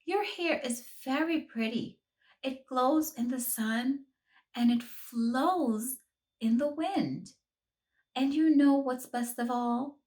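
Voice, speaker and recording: A woman voicing a shy, gentle little girl in a children's storybook, speaking softly and sweetly. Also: high voice, slow pace, pitched above the performer's own voice. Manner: soft and sweet.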